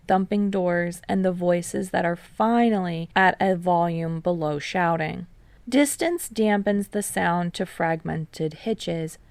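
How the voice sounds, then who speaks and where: A woman's voice: fairly low pitch, vocal fry, dry recording. A woman reading a story aloud as scripted audiobook-style narration. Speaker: fan fiction reader.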